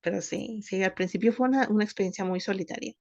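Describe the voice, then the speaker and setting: A woman, co-hosting a podcast and speaking thoughtfully in halting phrases. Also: medium pitch, gravelly, darkish tone, dry recording, lo-fi sound. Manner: thoughtful, halting, many restarts. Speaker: podcast co-host. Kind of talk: casual conversational podcast.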